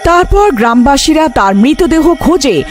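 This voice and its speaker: A woman's voice: sits high, animated, moderate pace, over music. A woman narrating a scripted story in a dramatic storytelling style.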